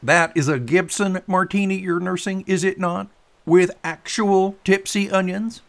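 A man's voice: high voice, fairly even intonation, a little slow, gravelly, fairly bright tone, pitched above the performer's own voice, heavily acted. A man voicing a blunt, breezy boat-owning buddy who needles his friend in colloquial talk, in a narrated story. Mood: proud, teasing.

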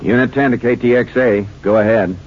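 A man acting a steady, methodical Texas Ranger investigator in a 1950s radio crime drama, terse, businesslike and matter-of-fact. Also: medium pitch, brisk, lightly acted. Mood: brisk, official. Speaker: lawman and narrator. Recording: muffled, noisy 1950s radio recording.

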